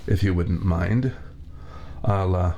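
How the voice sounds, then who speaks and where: A man's voice: low-pitched, mellow, muffled recording, slight background noise. A man voicing a spiteful, fussy, laid-off veteran torturer in an ASMR roleplay, with formal, theatrical diction, recorded on a binaural mic. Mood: fussy, clinical. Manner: formal, theatrical villain diction, slow and deliberate. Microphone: 3Dio binaural mic.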